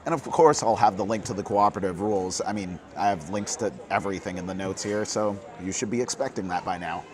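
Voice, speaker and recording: A hoarse man hosting a casual board game news roundup, earnest and quick, getting fired up at times. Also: fairly low pitch, crowd noise. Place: busy convention hall.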